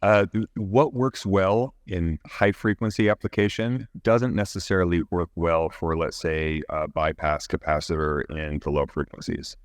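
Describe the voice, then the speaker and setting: Very deep-voiced man explaining technical work. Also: steady tone, normal speed, hardly any pauses, no echo, clean studio sound. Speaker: company executive, interviewee. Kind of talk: explanatory interview answers about product engineering.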